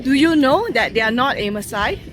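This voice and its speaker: A woman's voice: fairly high pitch, expressive, brisk, crowd noise. A woman travel vlogger asking a guide curious, casual questions outdoors at a street market. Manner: curious, asks many short questions.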